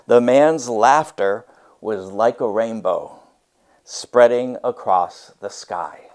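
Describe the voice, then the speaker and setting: A man teaching and reading a storybook aloud with deliberate, emphatic, expressive phrasing. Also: on the low side.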